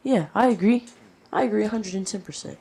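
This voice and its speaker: A young woman with a deeper voice than expected, a podcast guest in casual, profane, joking chat who laughs often. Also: brisk, creaky, dark tone, slight background noise.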